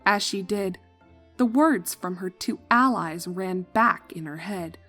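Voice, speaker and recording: A woman's voice: mid-range voice, slow, fairly bright tone, faint music behind. A woman reading a fan fiction story as a scripted narration.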